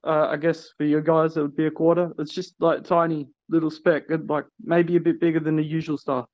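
A man's voice: on the high side, little pitch movement, a little fast, dry recording, lo-fi sound. A man from Australia, a guest telling his story hesitantly with many fillers. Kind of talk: casual call-in interview.

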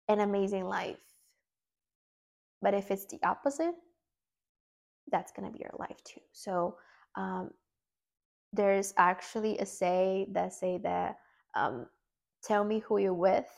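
A woman's voice: medium pitch, vocal fry. A woman from Brazil with a Brazilian Portuguese accent, whose first language is Portuguese, giving an earnest self-help talk and repeating words as she searches for them.

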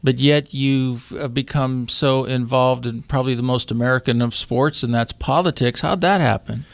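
A man with a gravelly edge to his voice, recorded close and dry. He guest-hosts a radio interview show, asking questions in a folksy, joking way and leaning on frequent uhs. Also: medium pitch, normal speed, dry recording.

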